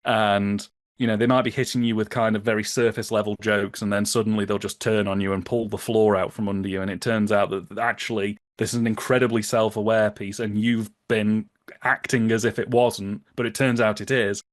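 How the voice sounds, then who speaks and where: A man in casual conversational commentary, telling anecdotes in an amused, animated way. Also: lowish voice, a little fast, dry recording, studio quality. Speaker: podcast guest.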